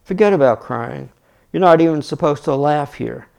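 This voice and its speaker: A man reading as a commanding boy hero, gravelly. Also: normal speed, warm tone, natural voice. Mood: harsh, menacing. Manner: imperious, booming declarations. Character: small, wild boy hero, commanding and menacing.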